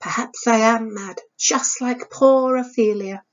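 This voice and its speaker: A woman acts out a dreamy, restless young woman from a storybook. Her voice is high and dramatic, lingering on words with passionate emphasis. The audio is slightly dull. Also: fairly even intonation, close to an everyday voice. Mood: wistful. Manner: gushing, dramatic, sighing, drawn-out emphasis. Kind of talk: audiobook character voice.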